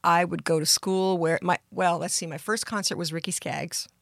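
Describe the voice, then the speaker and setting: A woman from Canada, a country singer guest, with a deep voice, talking earnestly in a casual interview. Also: fairly even intonation, normal speed, vocal fry, dry recording, studio quality.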